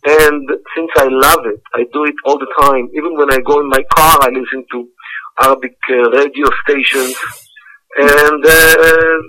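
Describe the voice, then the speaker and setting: An older man from Israel, a scholar answering interview questions in a halting way with many fillers, heard over a phone line. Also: mid-range voice, fairly even intonation, pushed and bright. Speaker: interview guest, scholar of Arabic.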